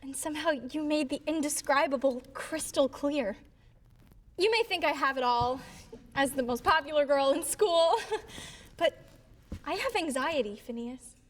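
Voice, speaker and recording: High-voiced affectionate schoolgirl played by a woman. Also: a little slow. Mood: tender. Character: popular, anxious, affectionate teenage schoolgirl fish. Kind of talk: staged musical theatre acting. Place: live staged reading before an audience. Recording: echoey live stage hall, music behind.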